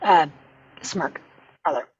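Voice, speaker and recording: Husky woman reading stage directions. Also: sits low, slow pace, muffled recording. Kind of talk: scripted narration at a table read.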